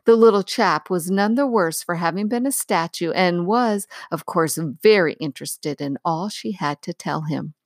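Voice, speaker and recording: A woman's voice: lowish voice, normal speed, few pauses, no echo, studio quality. A woman reading a children's story aloud as scripted narration. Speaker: audiobook reader.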